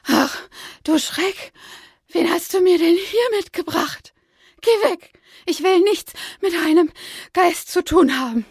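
A woman voicing a sad, grumpy old female owl in a storybook, slow and complaining with a creaky voice. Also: very high-pitched, no echo, studio quality, pitched above the performer's own voice, exaggerated voice. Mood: frightened.

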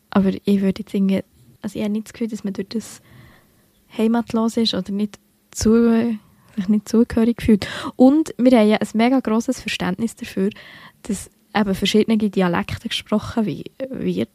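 A woman's voice: mid-range voice, dark tone, no room sound. Young woman, Bernese Swiss accent, podcast co-host; chatty, restarts her sentences, dry close sound. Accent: Bernese Swiss German. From Switzerland. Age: young adult. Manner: chatty, many fillers and self-corrections. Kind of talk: casual literary podcast chat.

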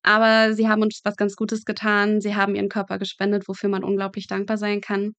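A woman's voice: medium pitch, monotone, fast, fairly bright tone, dry recording. A woman in her twenties, a medical student being interviewed, telling vivid stories in a frank, matter-of-fact conversational way. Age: 20s.

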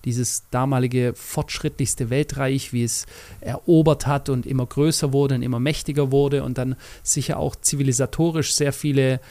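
A man's voice: mid-range voice, steady tone, moderate pace, warm tone, no echo. A man from Germany co-hosting a casual podcast conversation, often affirming and talking in long, thoughtful sentences with fillers. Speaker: podcast co-host.

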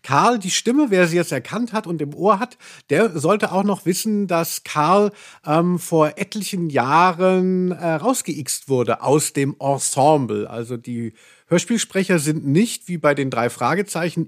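A man's voice: a little high, expressive, measured pace. A man co-hosting a podcast, chatting casually. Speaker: podcast host. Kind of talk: casual chat about audio dramas.

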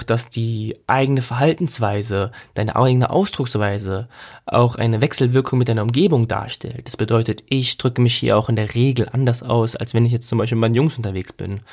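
A man from Germany, hosting a solo podcast in a casual, reflective, conversational way and stretching key words for emphasis. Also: mid-range voice. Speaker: podcast host.

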